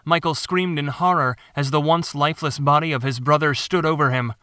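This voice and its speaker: Bright-voiced man narrating an audiobook. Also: on the high side, fairly even intonation, a little fast, clear, resonant tone, no echo, studio quality. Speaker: author narrating own audiobook. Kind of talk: scripted dramatic storytelling narration.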